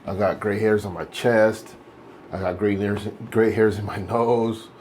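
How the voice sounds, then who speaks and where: A man's voice: lowish voice, steady tone, unhurried, darkish tone, a little hiss or hum. A man in his late forties chatting casually while shaving, rambling and correcting himself as he goes. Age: 40s. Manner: rambling, self-correcting, laid-back. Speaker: vlogger.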